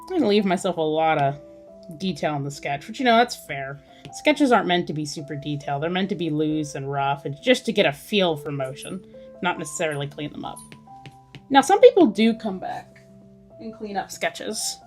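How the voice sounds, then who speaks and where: Woman, slightly low voice, casual drawing-stream chat, musing, thinking aloud, faint music behind. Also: expressive, moderate pace, darkish tone. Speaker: artist hosting a drawing livestream.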